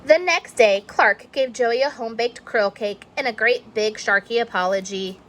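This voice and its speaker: A woman reading a children's storybook aloud in an expressive narrating style. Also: a little high, moderate pace, a little hiss or hum. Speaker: storybook reader.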